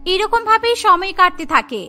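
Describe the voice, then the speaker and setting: A woman's voice: extremely high pitch, lively intonation, moderate pace, bright, forceful tone, faint music behind. A woman reading scripted narration for a cartoon story.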